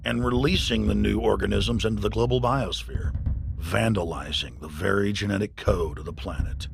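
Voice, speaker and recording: A gravelly man narrates a hard-hitting scripted documentary. His voice sits low and sounds accusatory and insistent. There is clear noise behind the voice-over.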